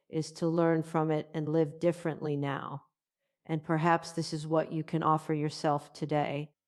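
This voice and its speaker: A woman giving a calm, guided self-compassion narration in a gentle, measured, soothing way. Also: deep voice, smooth.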